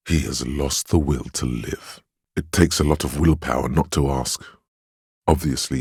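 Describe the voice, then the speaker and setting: A man voicing a video game character's inner voice of willpower, solemn, measured and reassuring, giving earnest counsel. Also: extremely low pitch, a little mellow, husky, dry recording, clean studio sound, heavily acted. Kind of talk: scripted inner-voice narration for a role-playing game.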